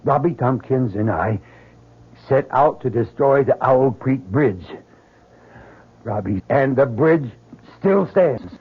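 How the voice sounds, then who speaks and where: Husky, fervent Southern soldier. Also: male voice, medium pitch, lively intonation. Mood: ashamed, troubled. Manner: halting, earnest, dramatic. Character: fervent Southern soldier, haunted man sure of his miracle. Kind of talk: old-time radio drama acting. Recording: dull lo-fi old radio recording.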